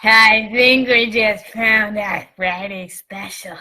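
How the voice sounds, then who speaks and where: A woman's voice: mid-range voice, fairly even intonation, slow pace, sharp tone. A woman playing a pushy diner worker in a comic radio sketch, bossy and blunt.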